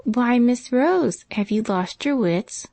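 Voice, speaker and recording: A humble, grateful servant girl, as a woman reader plays her, speaking plainly in a raised voice. The tone is mellow and dry, in a storybook reading. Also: fairly high pitch, expressive, slow, no room sound, pitched above the performer's own voice. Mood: earnest.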